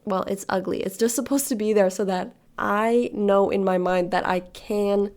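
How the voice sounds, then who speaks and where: A young woman of nineteen from Sweden, raised in Hong Kong, chats in a loose, rambling way over a game build. She drifts into side stories and speaks directly to whoever is watching, in a mid-pitched voice. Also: steady tone, normal speed, a little mellow. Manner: rambling, storytelling, talks to viewers directly. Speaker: gaming video creator. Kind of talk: casual chatty voice-over while building.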